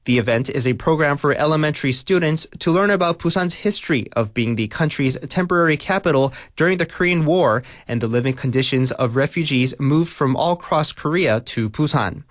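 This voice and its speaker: Higher-voiced man reading the news. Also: no room sound, studio quality. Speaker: news reader. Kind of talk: scripted local radio news bulletin.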